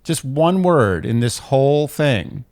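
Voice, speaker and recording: A man's voice: mid-range voice, expressive, slow pace, a little mellow. A man co-hosting an advice podcast, talking casually and emphatically, repeating phrases to drive a point home. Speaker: podcast co-host giving advice. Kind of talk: casual, opinionated advice chat. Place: living room, indoors.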